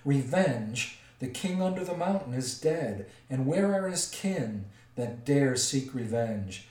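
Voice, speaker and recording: A man voicing an ancient, vain and boastful male dragon, slow and menacing, in an echoey room a little way from the mic. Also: medium pitch, expressive, a little mellow, far from the mic. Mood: gloating.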